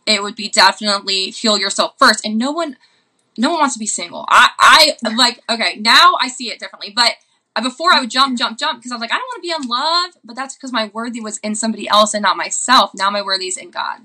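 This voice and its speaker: A woman speaks with a strikingly bright, sharp voice, pitched a little high. She shares a personal testimony of faith in a casual style. Her delivery is earnest and impassioned, with words and phrases often repeated. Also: animated, quick pace.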